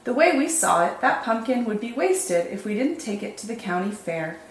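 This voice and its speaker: A woman reading picture books aloud as a children's storytime host, expressive and deliberate, with drawn-out dramatic emphasis. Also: a little high. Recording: echoey room, faint background noise.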